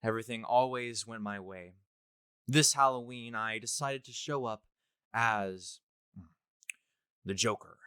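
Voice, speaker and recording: Pompous, deliberate college guy played by a man. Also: mid-range voice, pushed and bright, smooth, no echo, studio quality. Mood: smug. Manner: pompous, theatrical. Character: smug, deluded young college man playing Joker, theatrically self-important. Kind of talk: dramatic first-person story reading.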